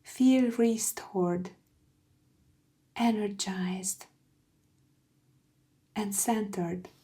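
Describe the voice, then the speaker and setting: A woman leads a guided meditation at a very unhurried pace. Her tone is soft and mellow, soothing and hushed, and her phrases often end in a breathy near-whisper. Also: mid-range voice. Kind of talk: slow guided meditation, scripted.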